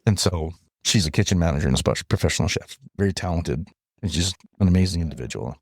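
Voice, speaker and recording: A man from interior British Columbia guesting on a podcast, telling animated stories and acting out what people said. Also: deep voice, fast talker, vocal fry, dry recording, studio quality. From interior British Columbia, Canada. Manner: animated storyteller, acts out quoted talk.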